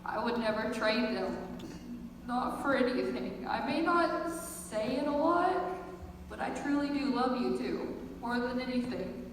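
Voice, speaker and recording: A teenage girl speaks earnestly in a slow monologue, her voice a little high. The sound is very reverberant, like a big hall. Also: expressive. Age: teens. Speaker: high school theater student. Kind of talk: earnest personal monologue to an audience. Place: large echoey room at a school performance. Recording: echoey hall from far off, faint noise.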